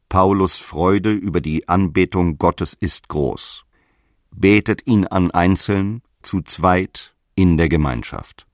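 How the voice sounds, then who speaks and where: An actor playing a stern, zealous apostle who preaches and commands in solemn scriptural language for an audio drama. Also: male voice, sits low, no room sound, studio quality. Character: Paulus, stern zealous male apostle, guardian of the faith.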